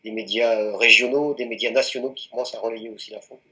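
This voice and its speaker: A man in his late forties from France's Anjou region, a mountaineer answering interview questions in a relaxed, hesitant way with many fillers. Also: medium pitch, fast, poor audio. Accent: French. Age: 40s. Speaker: mountaineer guest.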